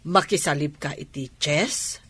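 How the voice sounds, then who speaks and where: A woman with a Filipino accent playing a proud, indulgent, well-off mother in a radio drama, with a husky voice. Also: low-pitched, a little slow, a bit bright, no room sound, full character voice. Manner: indulgent, encouraging. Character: doting, proud, well-off mother of a chess champion.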